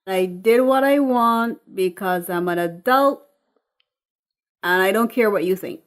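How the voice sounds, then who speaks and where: A woman's voice: mid-range voice, a little slow, close to an everyday voice. A woman voicing a defiant, fed-up thirty-year-old woman, blunt and sarcastic, in a dramatic script reading.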